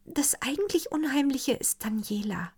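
Woman voicing nervous young woman, high, uneasy, frightened. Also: steady tone, moderate pace.

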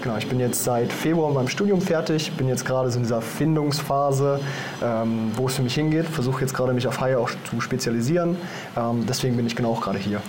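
A young man from Germany speaks at a middling pitch as an interview guest. He explains things informally and step by step, with some ambient noise around him. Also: fast. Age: young adult. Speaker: podcast guest, marine biologist. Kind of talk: casual explanatory interview answers.

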